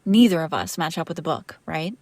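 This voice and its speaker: A woman's voice: low voice, big pitch swings, fairly quick, darkish tone, no echo, exaggerated voice. A woman playing a female vampire master, an exasperated but protective caretaker, in a conversational, sardonic audio roleplay. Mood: calmer, explaining. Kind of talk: intimate audio roleplay acting, one side of a conversation.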